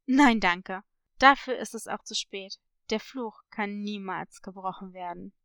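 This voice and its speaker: A woman acts out a mysterious male parrot from a storybook. He is an all-knowing stranger who speaks ominously, dropped well below the reader's own pitch, in a dry, close sound. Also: measured pace, a bit bright, clean tone, dry recording.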